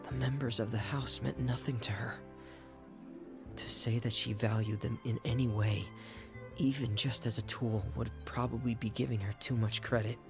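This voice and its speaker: A man's voice: medium pitch, steady tone, moderate pace, music in the background, natural voice. An actor voicing an earnest young male stage magician in a game's dialogue. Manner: earnest, heartfelt game dialogue.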